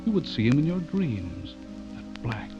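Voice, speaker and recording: Slow, insinuating horror writer. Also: male voice, fairly high pitch, very animated. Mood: sinister, taunting. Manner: insinuating, menacing when reciting. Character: intense male horror writer, sinister when reading aloud. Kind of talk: old-time radio drama acting. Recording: muffled old radio recording, loud music behind.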